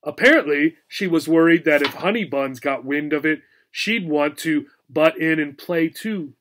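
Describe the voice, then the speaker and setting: A man reading a children's book aloud as a teacher, in an expressive storybook narration style. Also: on the high side, normal speed.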